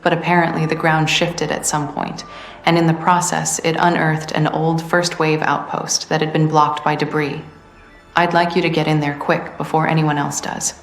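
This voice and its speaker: A woman playing a tough, weary resistance veteran who hands out missions in a video game, speaking plainly and in a measured way. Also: sits low, level tone, roomy sound, soft background music. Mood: firm, matter-of-fact. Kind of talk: video game character dialogue.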